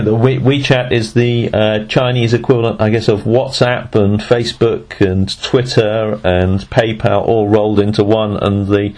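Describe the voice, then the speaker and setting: A man's voice: on the low side, darkish tone, poor audio. A man hosting a podcast interview over a voice call app, rambling and often restarting his phrases. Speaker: podcast host.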